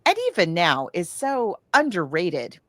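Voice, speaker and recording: A woman podcast host with a slightly low, very lively voice. She tells stories casually and ramblingly, slipping into quoted lines as she goes. The sound is dry, with little room on it. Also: measured pace, no echo. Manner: rambling, animated storyteller who acts out quotes. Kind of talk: casual chat about books and films.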